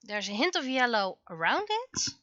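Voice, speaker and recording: A woman's voice: fairly high pitch, sing-song, normal speed, fairly bright tone, dry recording, muffled recording. A woman livestreaming as she draws, thinking aloud casually and muttering to herself as she works. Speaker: livestreaming webcomic artist. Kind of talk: casual livestream commentary while drawing.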